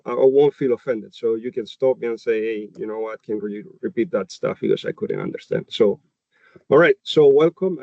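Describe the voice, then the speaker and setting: A man's voice: medium pitch, no echo, highs cut off. A man from Chile with a Chilean Spanish accent, a native Spanish speaker giving a dairy nutrition webinar, explaining steadily and checking in with "okay?" and "right?". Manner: explanatory, heavy on "you know" and tag questions like "okay?" and "right?". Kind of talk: webinar presentation.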